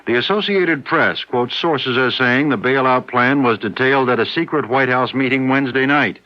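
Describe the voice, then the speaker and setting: Mid-pitched American male radio newsreader. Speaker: network radio news reporter. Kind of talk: scripted news bulletin. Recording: dull vintage radio broadcast.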